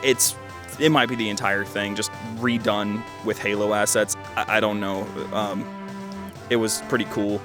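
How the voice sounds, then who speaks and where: A young man giving a casual, conversational game review, rambling loosely with wry deadpan asides. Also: lowish voice, moderate pace. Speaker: gaming video host. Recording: loud music behind the voice. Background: music.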